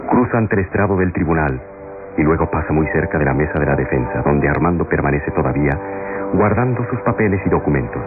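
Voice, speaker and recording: A man's voice: extremely low pitch, animated, fast talker. A man narrating a scripted radio soap opera in a dramatic, old-fashioned style. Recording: muffled lo-fi old radio recording. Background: loud dramatic music.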